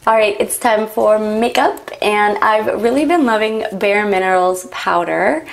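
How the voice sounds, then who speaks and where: Raspy-voiced young woman chatting casually. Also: mid-range voice, a little slow, a little hiss or hum. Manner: rambling, frequent "like" and "um". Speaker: beauty vlogger. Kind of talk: chatty get-ready-with-me talk. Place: home, doing makeup.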